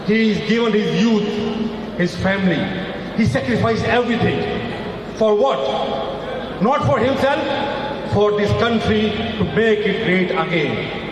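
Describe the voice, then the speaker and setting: Emphatic man, echoing over loudspeakers. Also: high-pitched, unhurried, long pauses, noisy background. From Afghanistan. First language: not English. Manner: emphatic, repetitive, rising to shouts. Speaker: rally speaker. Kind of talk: impassioned political speech. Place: outdoor rally stage over loudspeakers. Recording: echoey loudspeaker sound from far off.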